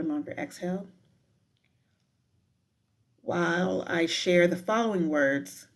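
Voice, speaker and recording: A woman guiding a meditation in a deliberate, unhurried manner with frequent pauses. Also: on the low side. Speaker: professor guiding a breathing meditation. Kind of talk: guided meditation with spoken poetry.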